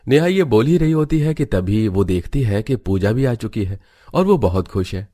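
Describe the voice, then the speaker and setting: Male narrator for cartoon tales: low voice, scripted storytelling delivery. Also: animated, moderate pace, warm tone, soft background music.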